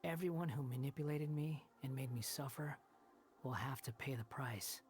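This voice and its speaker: A man's voice: medium pitch, normal speed, darkish tone, a little hiss or hum, lightly acted. A man playing a brooding, sardonic young wanderer in a video game, curt and aloof. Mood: bitter.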